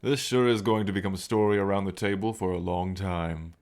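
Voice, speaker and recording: A man's voice: low-pitched, fairly even intonation, brisk, a little mellow. A man acting in a fantasy audio roleplay as a level-headed, amused god with a dry, sardonic delivery.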